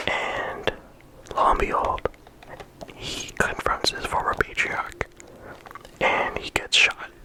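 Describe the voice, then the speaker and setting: Soft whispering ASMR speaker. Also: takes it slowly, pauses often. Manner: soft unvoiced whisper throughout; casual, with frequent ums and likes. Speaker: ASMR creator. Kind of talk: whispered ASMR game discussion.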